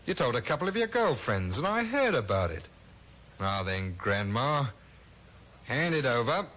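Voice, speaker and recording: A smooth young thief. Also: male voice, medium pitch, big pitch swings, moderate pace, a bit bright. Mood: menacing. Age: young adult. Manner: smooth, then threatening. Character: young con-man handyman turned menacing thief. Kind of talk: 1970s radio drama acting.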